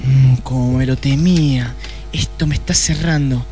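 An actor with an Argentine accent playing a rude, sardonic, hard-drinking private detective in a comic noir radio play. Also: male voice, medium pitch, steady tone, normal speed, dark tone, soft background music, close to an everyday voice. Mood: knowing, measured. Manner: brusque, sardonic.

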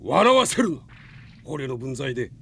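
Arrogant captain for radio drama, haughty mock courtesy, very animated delivery. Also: male voice, mid-range voice, normal speed, creaky, slight background noise, heavily acted. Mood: angry, threatening. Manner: haughty, mockingly polite, contemptuous. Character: arrogant, sneering enemy army captain, former knight. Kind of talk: Japanese radio drama acting.

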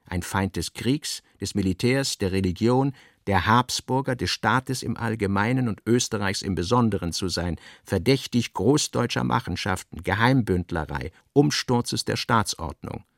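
A male narrator reads literary prose for a radio play. His voice sits on the low side with plenty of gravelly fry, and the sound is very dry, with no room around it. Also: animated, normal speed, no room sound.

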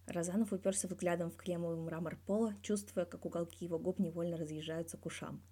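A woman reading fiction aloud in an expressive audiobook style. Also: on the low side, fast, breathy edge. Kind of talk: expressive audiobook-style narration with acted dialogue.

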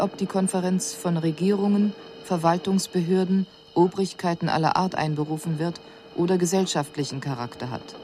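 An actress playing an extraterrestrial observer in a radio drama, reporting on human customs in a clinical, measured, matter-of-fact way. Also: female voice, fairly low pitch, a little mellow, a little hiss or hum, lightly acted.

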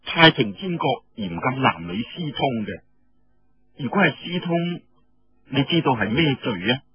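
A man's voice: medium pitch, very animated, a little slow, breathy edge, dry recording, dull audio, faint music behind, heavily acted. A man voicing a shrewd, firm young woman general who teases and scolds, in an audiobook story. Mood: stern warning.

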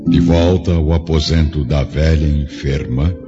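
Deep, reverberant Brazilian male narrator. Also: fairly even intonation, a little slow. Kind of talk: solemn dramatic radio drama narration. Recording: muffled, long reverb, music behind.

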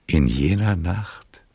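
An aged, dreamy seducer in an intimate radio play. His voice is extremely low and very husky, murmured close and dry. He lingers tenderly over his phrases, halting as he savours the story. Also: warm tone, dry recording, heavily acted. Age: older. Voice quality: husky, gravelly, breathy murmur. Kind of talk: intimate radio drama acting.

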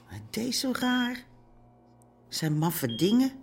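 Blunt, animated worried wife. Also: female voice, a little high, slow, slight background noise, exaggerated voice. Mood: worried, dry. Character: blunt, worried Amsterdam wife of a crime boss. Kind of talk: radio drama acting.

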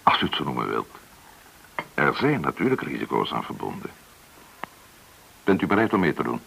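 An actor in a radio play as a courteous, probing government agent, explaining things with some hesitation. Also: male voice, very deep voice, sing-song, normal speed, vocal fry, a bit bright, husky, heavily acted. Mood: persuasive. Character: polite, probing male government agent recruiting an informant.

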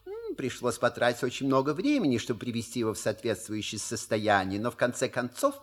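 An actor playing an unflappable, urbane manservant in a comedy audio play, deferential with a husky voice and subtle irony. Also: male voice, medium pitch, big pitch swings, fairly quick. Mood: explanatory, sly. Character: suave, unflappable, wry male manservant, courteous.

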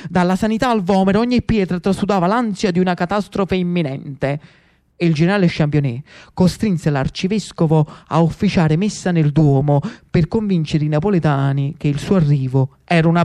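A man's voice: high voice, normal speed, warm tone. Male actor-storyteller, Neapolitan accent, theatrical narration, ironic, dramatic, dialect-flecked.

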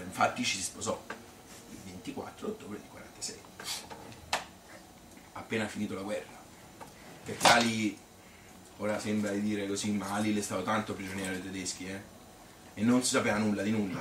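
A man's voice: lowish voice, fairly bright tone, recorded from a distance. Old Tuscan grandma played by a man, feisty, fond, rambling stage storyteller; roomy, noisy recording. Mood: tender, adoring. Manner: rambling, colloquial, repetitive, with little interjections. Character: old Tuscan grandmother reminiscing fondly, chatty, feisty, devoted wife. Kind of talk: spontaneous-sounding theatrical monologue.

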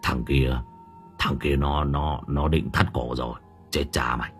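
A man voicing a bumbling male exorcist who whispers low and stammers in panic. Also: animated, normal speed, faint music behind, lightly acted. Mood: panicked whisper.

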